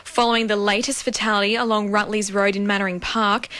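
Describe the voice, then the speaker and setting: A woman's voice: mid-range voice, level tone, fairly quick, pushed and bright, dry recording. A woman with an Australian accent reading a scripted radio news bulletin as the newsreader.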